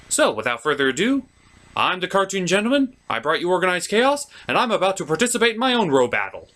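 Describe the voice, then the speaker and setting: A man's voice: high-pitched, pushed and bright. A man hosting an enthusiastic scripted cartoon review, emphatic and blunt with casual asides. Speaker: host of a cartoon review show.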